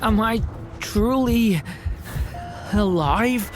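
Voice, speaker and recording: Gentle unsure dragon boy from a game, slow hesitant talk, music behind. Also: a little high, expressive. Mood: lost, faint. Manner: soft, hesitant. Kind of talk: video game voice acting.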